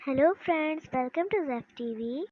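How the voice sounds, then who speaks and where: A woman's voice: high-pitched, slow, no room sound. A woman hosting and narrating scripted stories for children. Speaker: storyteller host. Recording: dull sound with music bed.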